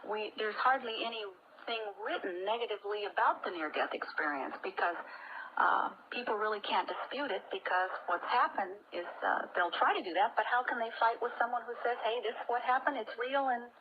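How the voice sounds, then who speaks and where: A woman's voice: on the high side, a little fast. American lady guest on a radio show, earnest, explaining her story, muffled noisy old 80s tape. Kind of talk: conversational personal account.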